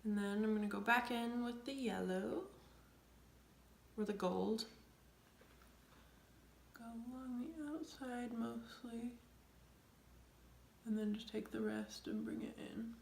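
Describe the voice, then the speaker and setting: A young woman coming down with a cold, chatting through a makeup tutorial in a rambling way, often dropping to soft near-whispers. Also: on the high side, steady tone, slow, vocal fry, pauses often, slight background noise. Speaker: beauty vlogger. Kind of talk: casual makeup tutorial chat. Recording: echoey room.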